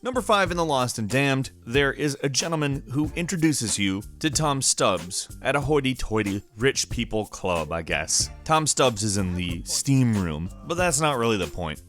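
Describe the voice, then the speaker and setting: Animated, wry man hosting a countdown. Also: mid-range voice, moderate pace, faint music behind. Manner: wry, offhand, with asides. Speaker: host of a gaming countdown show. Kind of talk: casual countdown narration.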